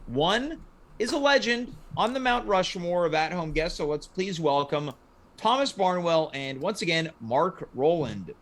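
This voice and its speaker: A man's voice: high voice, lively intonation, unhurried. A man hosting a casual live comedy talk show, with playfully grand announcer-like phrasing.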